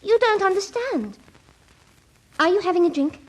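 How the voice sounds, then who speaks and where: A woman's voice: very high voice, lively intonation, moderate pace, low-quality recording. A woman playing an eerie, sweetly insistent young girl in a mid-century radio comedy, serene and coaxing.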